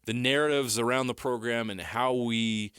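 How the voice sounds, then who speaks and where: A man's voice: mid-range voice, fairly even intonation, moderate pace, a bit bright, smooth, dry recording, clean studio sound. A man hosting a sports radio show, steering the talk and asking a former player for his take. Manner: steers the talk, reads sponsor copy.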